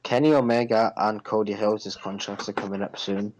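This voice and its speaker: A man's voice: fairly low pitch, fairly even intonation, moderate pace, darkish tone, poor audio. A man co-hosting a podcast, giving his opinions on wrestling in casual chat. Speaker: podcast co-host.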